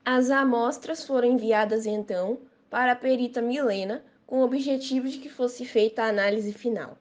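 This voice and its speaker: A woman's voice: on the high side, moderate pace. A woman with a Brazilian accent reading scripted story narration as the narrator.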